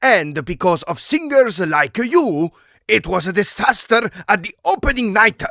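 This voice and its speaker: Man as irascible, high-voiced maestro. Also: very animated, a little slow, gravelly, bright, forceful tone, exaggerated voice. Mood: indignant. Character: irascible Italian maestro composer, proud and flamboyant. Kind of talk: farcical period audio drama acting.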